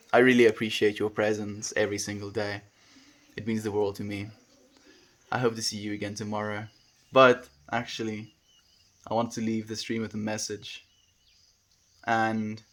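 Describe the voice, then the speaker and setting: A man chatting casually with viewers on a livestream, meandering and laid-back with many fillers. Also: fairly low pitch. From South Africa. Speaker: livestreamer reading chat. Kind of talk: casual spiritual chat with viewers.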